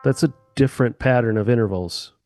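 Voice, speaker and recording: A man's voice: medium pitch, brisk, darkish tone, soft background music. A man, a musician guest on a chat podcast, explaining eagerly with sweeping comparisons into a close mic.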